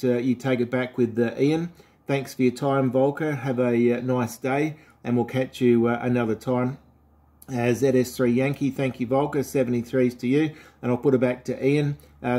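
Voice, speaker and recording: A man's voice: mid-range voice, mellow. A man with an Australian accent talking casually as an amateur radio operator, with radio sign-off phrasing. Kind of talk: casual ham radio exchange. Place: indoors at a home radio station.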